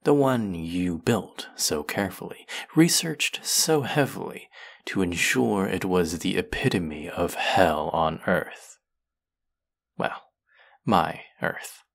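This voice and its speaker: A low, unhurried villain voice, acted by a man for a fantasy audio roleplay. He is menacing and mocking, a vengeful genius who picks his words deliberately and speaks with intimate, sardonic threat. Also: lively intonation, vocal fry, a bit bright, exaggerated voice. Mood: threatening. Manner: slow, deliberate, sardonic, dropping into whispers.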